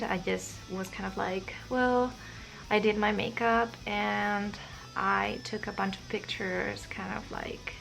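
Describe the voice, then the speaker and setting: A woman's voice: mid-range voice, gravelly, poor audio. A young woman from Spain with a Spanish accent, chatting shyly and hesitantly to camera, with many fillers. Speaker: vlogger. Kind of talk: casual chat to camera.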